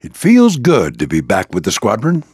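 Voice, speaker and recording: An older American man voicing a proud, gruff old military plane who mentors a squadron in a video game, with a gravelly voice and a commanding, folksy manner. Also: fairly low pitch, huge pitch swings, moderate pace, darkish tone, no room sound, studio quality, exaggerated voice. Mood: encouraging, approving. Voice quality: gravelly, husky. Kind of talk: scripted video game character lines.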